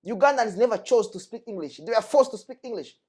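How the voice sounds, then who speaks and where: A man's voice: high voice, lively intonation, quick pace, a little mellow. A young man from the Democratic Republic of Congo, speaking earnestly and with emphasis as a guest, repeating his key points. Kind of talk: earnest discussion.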